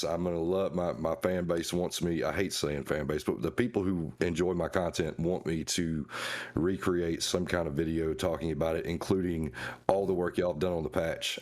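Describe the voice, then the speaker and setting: Man, extremely low voice. Folksy, emphatic guest on a casual panel. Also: a little mellow. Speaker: guest video creator.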